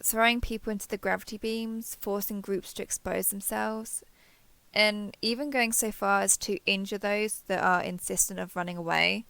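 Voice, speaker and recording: A woman acts out a female alien passing as a human survivor in an intimate audio roleplay. Her voice is very dry and close, with a frequent creaky edge. She is hesitant and coaxing, eager to please and quick to cling. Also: mid-range voice, steady tone, a bit bright, dry recording. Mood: nervous, earnest.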